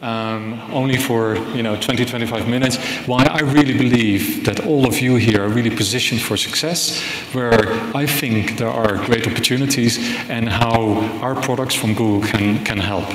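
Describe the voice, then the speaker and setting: A man from the Netherlands giving a relaxed conference keynote from a stage, often repeating words and making joking asides. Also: medium pitch, moderate pace, few pauses. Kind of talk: conversational keynote talk. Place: conference stage in a large hall. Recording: echoey hall with background noise.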